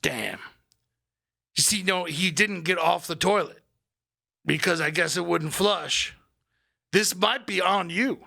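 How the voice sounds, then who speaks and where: Man doing a high, gravelly burnout roadie. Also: slow pace, long pauses, bright, forceful tone, exaggerated voice. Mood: rambling. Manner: rambling, absurd boasting tall tales. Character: spaced-out burnout male roadie storyteller. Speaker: podcast co-host. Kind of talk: improvised comedy bit.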